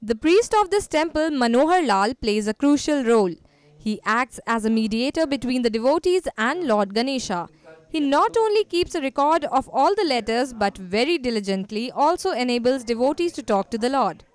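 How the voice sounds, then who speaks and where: A woman's voice: sits high, sing-song, drawn-out words. A woman with an Indian accent reading scripted narration for a news feature as a reporter.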